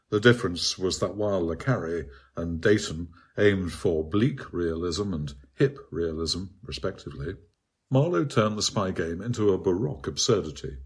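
A man reads his own literary essay aloud as scripted narration, in a measured, articulate and bookish manner. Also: low voice, slightly rough. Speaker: author reading own article.

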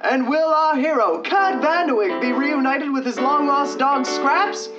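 A man's voice: very high voice, a little slow. A man playing a glib radio writer and theatrical announcer in an old-time radio drama. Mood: dramatic suspense. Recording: dull lo-fi sound with music.

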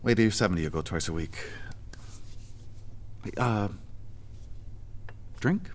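A man's voice: on the low side, a little slow, a little hiss or hum, natural voice. An actor playing an insistent, brash older male director with a theatrical streak in an audio drama. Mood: playful, casual. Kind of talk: naturalistic audio drama acting.

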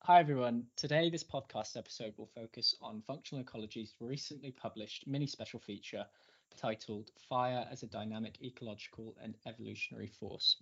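Bright husky male podcast host. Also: mid-range voice, brisk, dull audio. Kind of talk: conversational podcast hosting.

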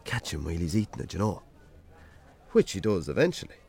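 An actor in a radio drama playing a weary, sardonic Irish farmer with a dry, colloquial, sweary way of talking. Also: male voice, low voice, very animated, fairly quick, vocal fry, a little mellow, husky, heavily acted. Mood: wry storytelling. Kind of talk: naturalistic radio drama acting.

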